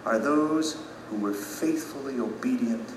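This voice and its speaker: An actor playing a humble, remorseful citizen in an audio drama, earnest and confessional, in an echoing hall. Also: male voice, mid-range voice, recorded from a distance, noisy background, close to an everyday voice. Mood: gently earnest. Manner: earnest, confessional, thoughtful pauses. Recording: echoey hall, music behind.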